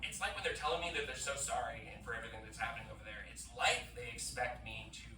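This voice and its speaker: A man's voice: mid-range voice, fast talker. A young man performing a rambling, self-deprecating stand-up comedy set, full of fillers and restarts, in front of a live audience. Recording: live comedy club, roomy and distant.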